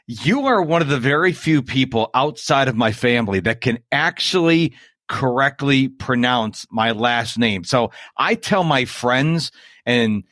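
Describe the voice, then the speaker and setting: A man's voice: mid-range voice, lively intonation, measured pace, a bit bright, dry recording, studio quality. A man hosting a podcast, chatting in an upbeat, folksy way. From United States. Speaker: podcast host. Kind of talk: casual interview chat.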